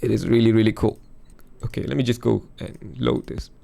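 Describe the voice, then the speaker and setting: A man losing his voice, chatting casually through a music software demo over a screen recording. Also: medium pitch, level tone, fairly quick, vocal fry. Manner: chatty, thinks aloud with fillers. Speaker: music production youtuber.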